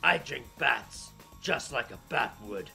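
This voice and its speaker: Brooding male superhero, acted by a man, parody radio drama, solemn mock-heroic declarations, gravelly. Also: medium pitch, animated, slow, sharp tone, soft background music, heavily acted. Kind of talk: parody radio play acting.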